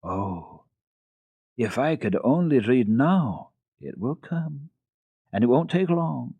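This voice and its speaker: A man's voice: medium pitch, big pitch swings, slow, breathy edge, voice pushed up, heavily acted. A man voicing an earnest, naive little girl in a storybook reading, plaintive and easily resigned. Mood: eager. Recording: narrow-band, echoey old radio recording.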